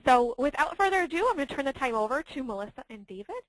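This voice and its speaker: A woman's voice: high-pitched, very animated, fast talker, no room sound, poor audio. Woman hosting a webinar, dry sound. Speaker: webinar host. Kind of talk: housekeeping announcements.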